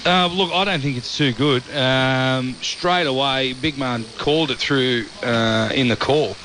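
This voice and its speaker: Bright-toned Australian male pundit. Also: medium pitch, a little hiss or hum, rough recording. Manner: measured, hesitant with fillers. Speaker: radio rugby league commentator. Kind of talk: post-match radio panel analysis.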